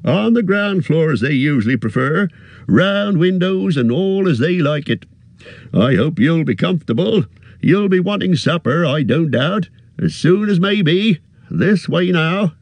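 A man reading aloud and voicing a fat, bustling, forgetful middle-aged innkeeper in a high, flustered voice. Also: expressive, unhurried, no echo. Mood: chatty. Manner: flustered, chattering, high-pitched.

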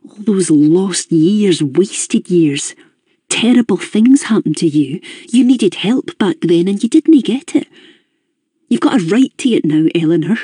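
A woman reading an audiobook, voicing a caring man who speaks in a halting, emotional way. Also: high-pitched, animated, dark tone, breathy edge, dry recording, exaggerated voice. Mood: earnest. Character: earnest caring man, angry on her behalf.

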